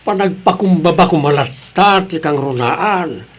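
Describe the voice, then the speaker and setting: A sensible husband played by a man in an Ilocano audio drama. His voice is notably high for a man, with an Ilocano Filipino accent. He is earnest, moralizing and disapproving. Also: animated, unhurried. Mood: concerned.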